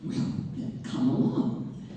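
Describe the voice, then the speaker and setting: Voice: slow pace, dark tone, close to an everyday voice. An amateur actor playing a friendly, folksy traveling photographer of the 1850s in a stage read-through, inviting and encouraging. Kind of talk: community theater dramatic read-through. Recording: distant, echoey hall with noise.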